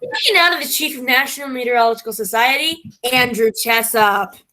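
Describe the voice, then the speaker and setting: A woman's voice: fairly high pitch, expressive, fairly quick, sharp tone, soft background music, rough recording. A woman playing a theatrical, grandly polite announcer in the manner of old-time radio broadcasts. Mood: grandly presenting.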